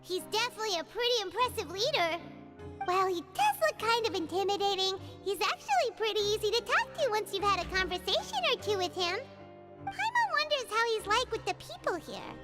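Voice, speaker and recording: Loud game music sits behind a tiny fairy companion. The voice is childlike, squeaky and extremely high. It is chatty and excitable, leaping all over in pitch. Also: female voice, a little fast. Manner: talks about herself in third person, exclamatory. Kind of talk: video game voice acting.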